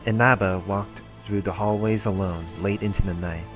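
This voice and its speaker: A man with a low voice reads a visual novel aloud as a let's play host. He drifts into casual, musing mutters between passages. Game music plays softly underneath. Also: steady tone, normal speed. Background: game background music.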